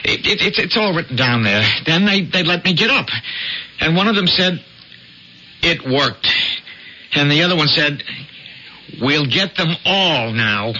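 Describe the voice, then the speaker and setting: Male earnest, haunted believer, halting delivery, slightly high sharp voice, dull noisy vintage radio drama. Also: lively intonation, full character voice. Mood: awed, ominous. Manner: halting, stammering, solemn. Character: earnest, obsessed UFO watcher reporting alien abduction. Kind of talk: 1970s radio drama acting.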